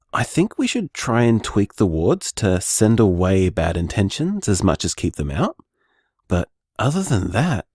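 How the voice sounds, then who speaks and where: A man playing a gentle, rambling young magic-user. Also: on the low side, no echo, clean studio sound, full character voice. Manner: chatty, musing, hedging with fillers. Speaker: bar owner practicing magic. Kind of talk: audio drama voice message.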